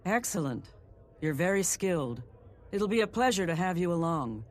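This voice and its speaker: Higher-voiced confident spy assassin. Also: male voice, big pitch swings, moderate pace. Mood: confident, approving. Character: confident male secret-order assassin, coolly eager. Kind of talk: fantasy video game acting. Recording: prominent music behind the voice.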